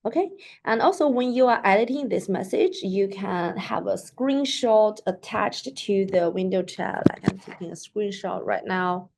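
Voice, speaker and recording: A woman whose first language is Mandarin Chinese gives a casual screen-share tutorial of a software app, talking things through as she clicks and often saying "like" and "so that is to say". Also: mid-range voice, lively intonation, a little slow, creaky, slight background noise. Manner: chatty, with frequent "like" and "so that is to say". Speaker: software demo presenter. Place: at a computer, recording her screen.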